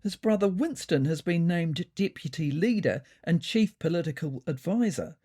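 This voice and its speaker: A woman's voice: fairly low pitch, expressive, dark tone, breathy edge. Woman playing a pointed, undeterred newspaper reporter. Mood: pointed, accusing. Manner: pointed, accusatory, deliberate. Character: persistent, probing newspaper reporter questioning cat politicians. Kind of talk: audiobook storytelling.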